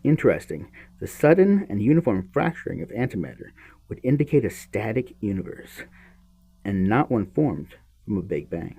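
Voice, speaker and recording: A man reads an explanatory science text aloud in a soft-edged, husky voice. His pitch swings widely as he stresses key phrases, and he halts, repeats words and drops into offhand asides. The tone stays mellow and dark. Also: big pitch swings, rough recording. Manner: emphatic, halting, with repeated words and muttered asides. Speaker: podcast host reading a book aloud.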